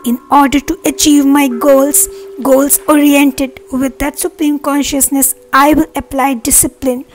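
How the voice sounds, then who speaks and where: A woman leading a guided meditation with affirmations, speaking earnestly in measured, separate phrases. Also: high-pitched, little pitch movement. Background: meditation music.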